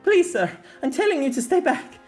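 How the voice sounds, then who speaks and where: A man doing a frightened young police officer in a storybook tale, his voice jumping up into a thin, squeaky register as he begs and stammers. He sounds desperate and rattled, a boy out of his depth, and the pitch swings wildly from line to line. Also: big pitch swings, moderate pace, faint music behind. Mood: panicked. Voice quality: squeaky, pleading.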